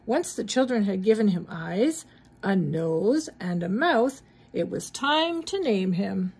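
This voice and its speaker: A woman's voice: medium pitch, lively intonation, slow, creaky, darkish tone, husky. A middle-aged or older woman with a mild cold, reading a children's story aloud expressively, with questioning asides to young listeners. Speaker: grandmother reading to grandchildren.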